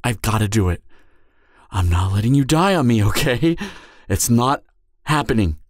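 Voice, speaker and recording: A man's voice: mid-range voice, animated, normal speed, dry recording, clean studio sound, close to an everyday voice. A man playing a devoted hunter boyfriend in an emotional audio roleplay, urgent and stammering, repeating himself as he pleads with his wounded lover. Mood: anxious, soothing.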